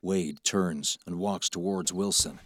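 A man's voice: low voice, fairly even intonation, slow, heavy background noise. A man reading scripted stage directions as the narrator of an audio drama.

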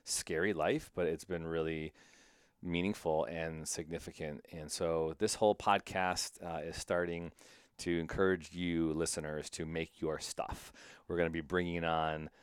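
Deep-voiced Midwestern man hosting a podcast. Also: a little slow, clear, resonant tone, no room sound, clean studio sound. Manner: animated, excitable, laughs often. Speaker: podcast host. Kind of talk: casual podcast chat.

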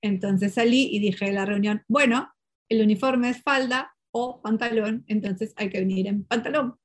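Woman interview guest, Portuguese speaker; lively storyteller full of fillers and self-corrections. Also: medium pitch, moderate pace. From Peru. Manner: lively, animated, many fillers and restarts. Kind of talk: casual conversational storytelling.

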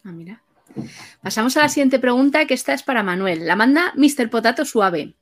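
Female interviewer, higher-pitched, conversational while reading questions. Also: expressive, a little fast, fairly bright tone.

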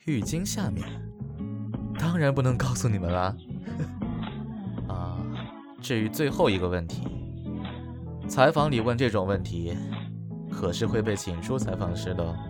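A man's voice: fairly low pitch, sing-song, measured pace, pauses often, over loud music. An actor playing a teasing, easygoing young male heartthrob in a Chinese audio drama. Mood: playful teasing.

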